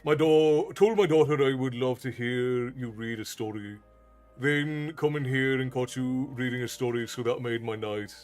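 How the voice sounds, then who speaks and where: A man's voice: medium pitch, normal speed, a little mellow, soft background music. Folksy Irish-tinged streamer man. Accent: Americanized Southern Irish. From Ireland. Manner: folksy, thanks chat by name, calls viewers "love". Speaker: streamer and storyteller host. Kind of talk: casual livestream chat.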